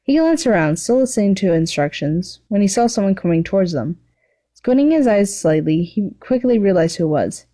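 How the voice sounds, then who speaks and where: A woman's voice: medium pitch, sing-song, fairly quick, dark tone. A woman narrating fan fiction, reading it aloud as a story.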